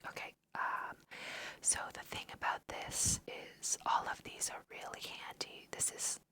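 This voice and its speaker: A woman whispering casual, chatty ASMR commentary over a video game, thinking aloud in a breathy voice. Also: measured pace, sharp tone. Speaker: host of an ASMR let's play.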